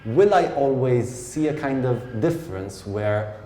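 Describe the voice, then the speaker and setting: A man's voice: medium pitch, lively intonation, a little slow, warm tone. A man with an Italian accent, a writer and translator, asking questions in a reflective, hesitant way with many restarts. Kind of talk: conversational public Q&A. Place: lecture hall. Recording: echoey hall with faint ambience.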